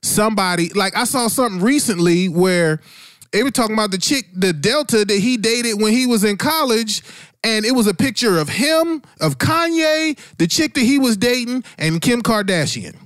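A man's voice: high voice, moderate pace, dry recording, clean studio sound. A man hosting a casual podcast discussion, blunt and profane, repeating phrases for emphasis. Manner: blunt, animated, emphatic repetition for effect. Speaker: podcast host.

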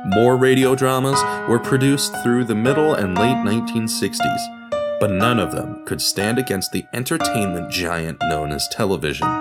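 A man narrating a scripted documentary podcast, telling it like a story with deliberate dramatic emphasis. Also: low-pitched.

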